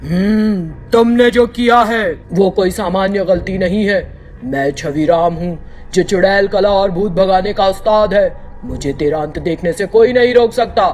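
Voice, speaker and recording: A man's voice: high voice, measured pace, darkish tone, faint music behind. A man playing a stern, boastful ghost-banishing master, pompous and menacing, in a cartoon storybook tale. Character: stern, boastful male witch-hunter, vengeful and commanding. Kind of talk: Hindi cartoon storybook acting.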